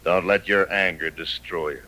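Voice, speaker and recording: A cold, self-assured western lawman speaks with a forceful edge. His lines are terse and deliberate, full of menace, and the pitch sits a little low. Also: steady tone, poor audio. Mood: cold warning. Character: cold, self-assured male gunfighter sheriff, hired killer. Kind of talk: 1950s radio western acting.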